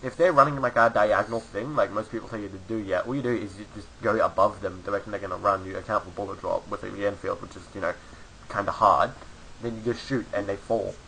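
A man's voice: lowish voice, fairly even intonation, fast, vocal fry, a bit bright, rough recording. A man talking casually while gaming with a friend, brash and crude, joking around. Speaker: gamer.

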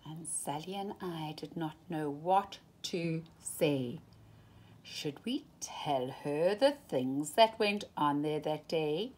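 A woman's voice: sits low, slow pace, a little mellow. A woman reading a children's picture book aloud with dramatic, emphatic phrasing, as a storytime reader.

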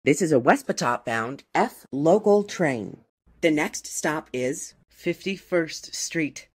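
A woman with a low voice reads formal transit announcements. Her delivery is slow and scripted, in separate pieced-together phrases, with strong swings in pitch. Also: lively intonation, darkish tone, breathy edge. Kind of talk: scripted automated train station announcement.

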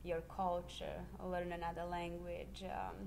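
A middle-aged woman from Serbia, a high school history teacher, speaking in a measured, earnest way with many pauses in a classroom interview on a handheld mic. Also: completely flat delivery, vocal fry, a little mellow, slight background noise. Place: classroom, indoors.

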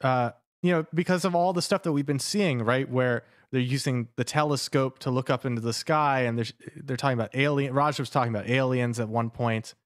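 A man's voice: mid-range voice, a little fast, few pauses, no echo. Musing man in dry, close sound. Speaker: podcast panelist. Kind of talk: casual chat, joking speculation.

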